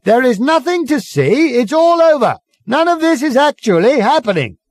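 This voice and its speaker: A man's voice: big pitch swings, moderate pace, no echo, clean studio sound, exaggerated voice. A man voicing a brash man who bellows urgent, commanding orders at a crowd in an audiobook. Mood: urgent, bossy. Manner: booming, urgent, commanding shouts.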